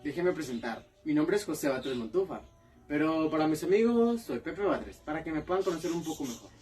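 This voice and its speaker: A man's voice: on the high side, lively intonation, a little fast, a little mellow, lightly acted. A man playing a proud nineteenth-century poet and soldier, introducing himself and his life in first person in a friendly, explaining way. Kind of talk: first-person historical monologue. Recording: distant mic, faint background music.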